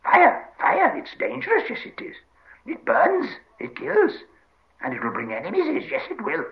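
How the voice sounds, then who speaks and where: A greedy, wretched storybook creature with a high, hissing whine that leaps into squeals. It wheedles and repeats itself, cringing and easily alarmed. The audio is slightly muffled. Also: male voice, wildly swooping pitch, measured pace, gravelly, heavily acted. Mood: frantic, squealing. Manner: repetitive wheedling, speaks of himself in third person.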